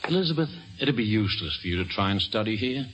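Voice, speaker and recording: An actor playing a cautious, paternal older doctor in an old-time radio drama, with a measured, slightly patronizing manner. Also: male voice, fairly low pitch, expressive, fairly bright tone, a little hiss or hum. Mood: cautioning, paternal. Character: paternal older male doctor, cautious but fond mentor.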